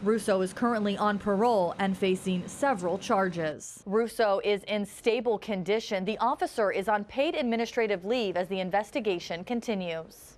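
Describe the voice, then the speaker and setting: A woman news reporter narrating a packaged story in a steady, factual way. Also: medium pitch, moderate pace, few pauses, slight background noise.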